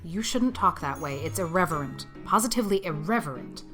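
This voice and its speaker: A woman playing a strict, brisk female guardian in an audiobook, with a curt, reproving manner. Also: lowish voice, expressive, music in the background, natural voice. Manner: curt, reproving, matter-of-fact. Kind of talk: audiobook character acting.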